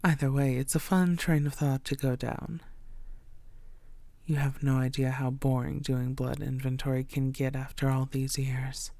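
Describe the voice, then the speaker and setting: A woman playing a coy, secretive vampire who poses as a tired blood bank worker, speaking softly and playfully in an intimate audio roleplay. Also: a bass voice, little pitch movement, a little slow, no echo. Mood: musing, playful. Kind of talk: intimate scripted audio roleplay addressed to the listener.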